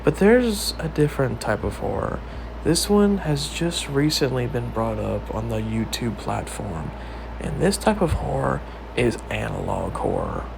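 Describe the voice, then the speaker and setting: Creaky-voiced man over a noisy background. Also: medium pitch, very animated, measured pace. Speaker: video creator and host. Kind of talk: video essay commentary on horror.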